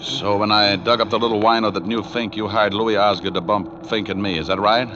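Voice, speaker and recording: An American actor playing a tough, wry private detective in a 1940s radio drama. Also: male voice, fairly low pitch, flat delivery, brisk, background noise, close to an everyday voice. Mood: wry, cool. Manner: wry, flippant. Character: wisecracking tough male New York private detective. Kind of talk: 1940s radio acting, hardboiled narration. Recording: dull old radio recording.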